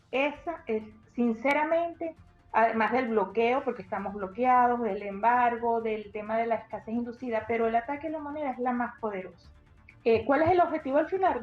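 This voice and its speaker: Insistent woman in a roomy, dull recording. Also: on the high side. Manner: insistent, rhetorical questions. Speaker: commentator. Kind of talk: political commentary.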